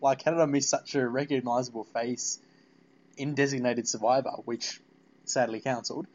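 A man's voice: mid-range voice, steady tone, moderate pace, a little mellow, highs cut off. A man hosting a casual fan interview, rambling and repeating his phrases as he goes. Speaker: podcast interviewer.

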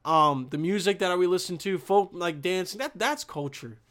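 Slangy cursing young man, bit high. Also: moderate pace, a bit bright. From Bosnia. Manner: profane, restarts sentences, slangy. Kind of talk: casual rambling monologue.

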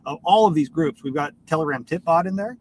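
The sort of higher-voiced guy you'd hear cussing casually through a homemade podcast, sitting back from the mic. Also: animated, fast. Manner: informal, swears casually. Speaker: podcast host. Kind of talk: casual rambling solo podcast talk. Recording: noisy, distant microphone.

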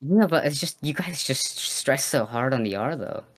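A man's voice: mid-range voice, lively intonation, normal speed, no echo. A man from New Zealand with a New Zealand accent, chatting and teasing on a podcast. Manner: laughs often, teasing. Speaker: podcast host. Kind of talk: casual chat.

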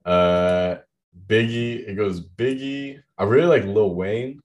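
A young man with a deep voice chatting casually about the music he loves, rambling with lots of fillers. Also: slow, lo-fi sound. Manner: rambling, heavy use of "like".

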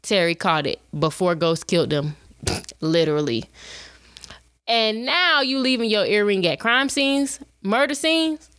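A woman in her twenties hosting a show, talking in a chatty, animated way and calling her listeners y'all. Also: mid-range voice, measured pace, dry recording, studio quality. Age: 20s. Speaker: podcast host. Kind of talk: casual chat.

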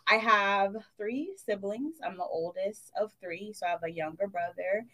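A woman in her thirties speaks as a podcast guest. Her voice sits in a mid range. She talks in a soft-spoken, relaxed and reflective way. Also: medium pitch, moderate pace, low-quality recording. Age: 30s. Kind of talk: casual interview chat.

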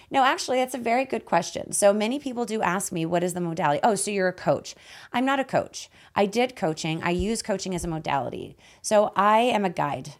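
A woman with a raspy, hoarse voice hosting a conversational podcast in a playful, teasing manner. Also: fairly low pitch. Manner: playful, teasing, laughs often. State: voice hoarse after losing it. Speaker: host and guide.

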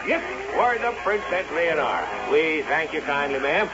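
A man's voice: a little high, expressive, moderate pace, close to an everyday voice. A man acting a grandiose carnival barker in an old-time radio drama, with flowery, declamatory showman's patter. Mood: grand, beckoning. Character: grandiose carnival barker touting a fortune-telling princess. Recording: muffled old radio broadcast, music behind.

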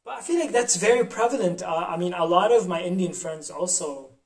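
A man from Lebanon chatting casually and relaxed, swearing now and then. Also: sits high, a little fast. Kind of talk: casual chat. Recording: distant from mic.

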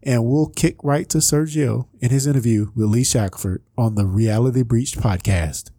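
A man's voice: mid-range voice, moderate pace, dark tone. A man hosting a podcast, giving a casual, conversational introduction to an episode.

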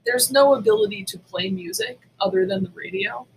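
A woman's voice: mid-range voice, fairly quick, a little hiss or hum, low-quality recording. A woman of about forty co-hosting a podcast, chatting casually and bluntly with heavy swearing. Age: around 40. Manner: blunt, heavily profane. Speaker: podcast co-host. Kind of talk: casual chat.

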